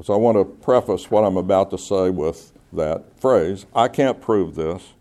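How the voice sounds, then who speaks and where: A man preaching in a church service, reading Bible passages and explaining them in a measured, teacherly way as he reasons aloud. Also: low-pitched, mellow.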